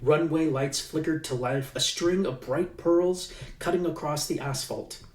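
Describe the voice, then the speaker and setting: A man, an author, reading his own novel aloud in an unhurried way, pausing with fillers as he chats and leaning into the tense passages. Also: a little high. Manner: unhurried, with halting fillers in the chat and livelier emphasis in the reading. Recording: echoey room, mic at a distance.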